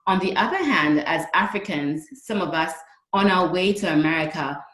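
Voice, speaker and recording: Female panelist, thirties, low voice, measured explanatory delivery, echoey room. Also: animated, fairly bright tone. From Ghana. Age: 30s. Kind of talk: considered panel remarks.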